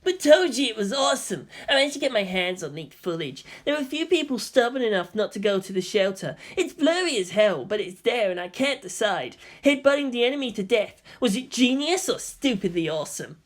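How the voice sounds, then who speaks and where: A man voicing an excitable, gossipy nerdy schoolboy in an audiobook, eager and animated. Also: medium pitch, a little fast, few pauses, voice pushed up, natural voice. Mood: excited.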